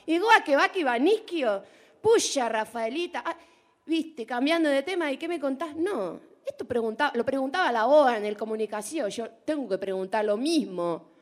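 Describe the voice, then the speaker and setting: A woman's voice: sits high, lively intonation, normal speed. A woman in her forties playing a nosy, chatty Argentine woman with a put-on Rioplatense accent, teasing and drawing out her vowels. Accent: Rioplatense Spanish put on. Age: 40s. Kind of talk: comic monologue impression.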